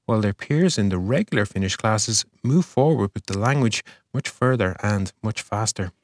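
A man hosting a news podcast, reading a scripted review of the year in a measured way. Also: fairly low pitch, expressive, no room sound, studio quality.

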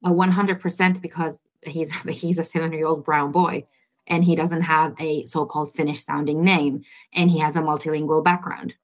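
A woman's voice: low voice, level tone, normal speed. A woman who is a mother and a listener, speaking frankly and with exasperation about her son. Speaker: listener, parent. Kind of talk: interview answer.

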